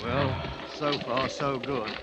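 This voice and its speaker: A man's voice: mid-range voice, slow, highs cut off, heavy background noise, close to an everyday voice. An actor playing a swaggering, stubborn British adventurer who made himself king, in an old-time radio drama. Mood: grim, resigned. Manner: blustering, colloquial. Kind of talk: 1940s radio drama acting. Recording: old radio broadcast with music bed.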